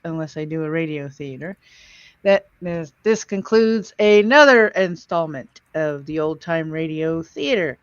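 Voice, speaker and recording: A man's voice: on the high side, a bit bright, clean tone, dry recording, muffled recording. A man hosting a podcast in a casual, chatty, wisecracking style. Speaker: podcast host. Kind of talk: casual heckling and chat.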